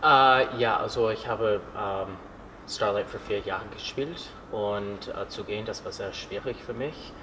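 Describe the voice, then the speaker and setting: A man being interviewed as a stage actor, speaking in slow, careful German with pauses. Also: on the low side, bright, forceful tone, in a large hall, recorded from a distance, a little hiss or hum, low-quality recording.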